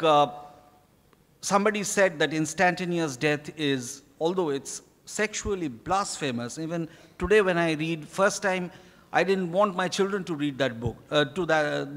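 A man's voice: fairly high pitch, fairly bright tone. Indian man in a reverberant hall. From Kolkata, India. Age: middle-aged. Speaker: author being interviewed. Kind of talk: reflective on-stage interview answers. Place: large hotel ballroom stage. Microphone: handheld microphone. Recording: echoey hall PA with long reverb.

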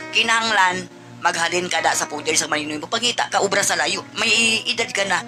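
High-voiced Filipino man advising over music. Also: brisk. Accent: Western Visayan Filipino (Hiligaynon). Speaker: co-host giving advice. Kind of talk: conversational advice talk. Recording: music behind the voice.

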